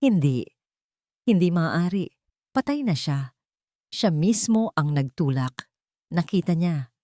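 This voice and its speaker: A woman's voice: fairly low pitch, big pitch swings, slow, dark tone, no echo, studio quality. A woman playing a cold, controlled millionaire husband in a dramatic story, curt and commanding. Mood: shaken.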